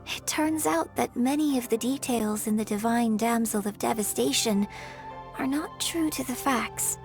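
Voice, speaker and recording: A woman voicing a refined, earnest young opera performer in a video game, formal and gentle. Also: a little high, measured pace, soft background music. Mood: earnest, apologetic.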